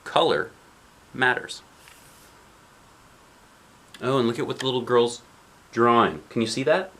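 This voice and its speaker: A man's voice: mid-range voice, fairly bright tone. A man reading a picture book to children, with conversational asides and questions to the listener. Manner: asks the listener questions, pausing to explain pictures. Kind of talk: read-aloud storytelling with conversational asides.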